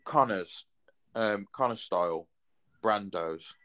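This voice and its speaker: Brief: thirties male host, lowish voice, loose rambling chat, swears easily. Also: takes it slowly, a bit bright. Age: 30s. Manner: rambling, swears casually, many fillers. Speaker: podcast host. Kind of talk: casual chat.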